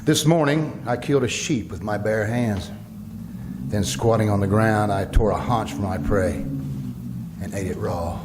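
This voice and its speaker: An actor playing an earnest, uneasy male academic in an old-time radio drama, speaking in a deliberate, theatrical way. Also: fairly low pitch, expressive, heavy background noise. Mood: grim, haunted. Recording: background music, live stage performance.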